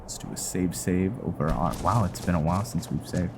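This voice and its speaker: A man giving casual, rambling gameplay commentary, halting often as he thinks aloud. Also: deep voice, background noise. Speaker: gaming video host. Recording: music behind the voice. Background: game music.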